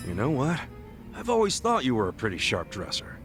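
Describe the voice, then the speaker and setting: A man's voice: medium pitch, huge pitch swings, brisk, over music. A man voicing a blunt, curious, easygoing friend in a video game cutscene, talking in a casual, slangy way. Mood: curious, friendly.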